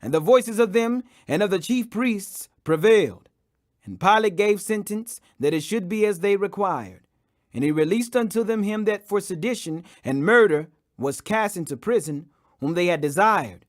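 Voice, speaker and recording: A man narrates a scripture audiobook in a strikingly high-pitched voice. His delivery is solemn and declamatory, shaped as a dramatic reading. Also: lively intonation, normal speed. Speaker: scripture reader.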